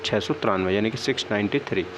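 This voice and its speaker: A man's voice: lowish voice, fast talker. A young man talking casually to viewers as he explains things, sounding disappointed and often adding small check-in tags. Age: young adult. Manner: conversational, often checks in with "okay, right" tags. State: downcast, disappointed. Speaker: exam-prep vlogger. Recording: dull lo-fi sound, faint background noise.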